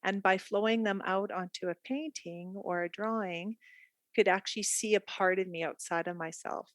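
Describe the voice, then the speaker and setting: A woman's voice: mid-range voice, normal speed, no room sound. A middle-aged Canadian woman with a Canadian accent, talking openly and reflectively as a guest in a podcast interview. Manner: chatty, laughs easily, reflective. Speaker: guest art therapist.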